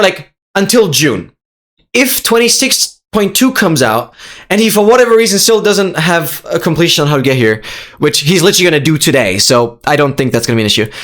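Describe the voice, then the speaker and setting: A young adult streamer from Austria, a native German speaker with an Austrian accent, chatting excitedly with viewers on a live stream and repeating phrases. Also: mid-range voice.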